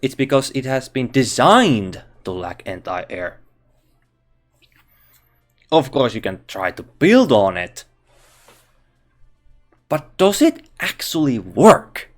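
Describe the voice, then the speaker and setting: A man with a Finnish accent reviewing a game character, thinking aloud in halting English with frequent restarts. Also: mid-range voice, very animated, faint music behind. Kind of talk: casual game commentary.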